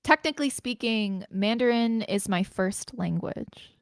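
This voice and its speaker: A woman's voice: fairly high pitch, expressive, unhurried, smooth, no room sound, clean studio sound. A woman, a spoken word poet performing and introducing her own work. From Canada, Greater Toronto Area.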